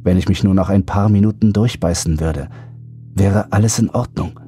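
Male narrator, low mellow voice, scripted creepypasta reading, faint music behind. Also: moderate pace. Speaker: horror audiobook narrator. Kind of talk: scripted first-person horror narration. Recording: background music under voice.

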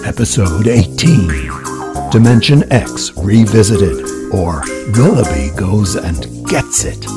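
A man's voice: fairly low pitch, animated, slow. A man reading scripted show credits as an announcer, in a mock-formal delivery. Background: prominent theme music.

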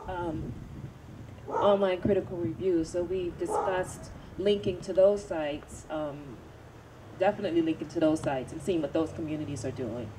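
Woman, low-pitched, thoughtful panelist, fillers and restarts, handheld mic, distant noisy outdoor recording. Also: normal speed. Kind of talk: panel discussion answer. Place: outdoor evening event. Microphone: handheld microphone.

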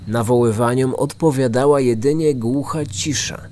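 A man's voice: medium pitch, normal speed, darkish tone, slight background noise. A man hosting a true-crime podcast, reading a scripted narration.

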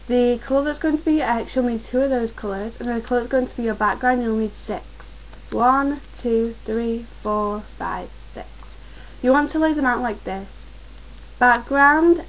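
A woman's voice: a little high, slight background noise, rough recording. A young woman who is getting a cold, patiently giving step-by-step craft instructions at a home desk. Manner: patient, repetitive instructions, slow and careful at times. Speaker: crafts tutorial maker.

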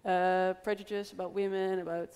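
A young woman moderates a panel in a large hall, her voice smooth and clear against the long hall reverb. She asks her questions hesitantly, stopping on fillers as she works them out. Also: medium pitch, little pitch movement, normal speed, a little mellow. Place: large hall with a live audience.

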